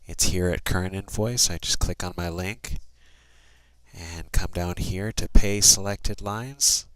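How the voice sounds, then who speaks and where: A man's voice: low-pitched, fairly even intonation, unhurried, fairly bright tone, no echo. A man narrating a step-by-step screencast tutorial in a plain, procedural way, thinking aloud as he works. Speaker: software trainer.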